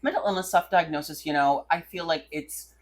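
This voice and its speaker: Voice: medium pitch, a little fast. A podcast co-host talking in casual comedic banter, with a rambling, irreverent, slangy manner. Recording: faint air conditioner hum in background.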